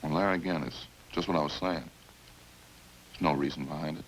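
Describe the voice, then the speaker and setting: An actor in a 1950s radio crime drama playing a chatty killer who confesses in a casual, folksy, matter-of-fact way. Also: male voice, extremely low pitch, steady tone, fairly quick, rough recording. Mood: casual, rambling. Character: chatty, hungry male killer calmly confessing over supper. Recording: hissy old-time radio recording.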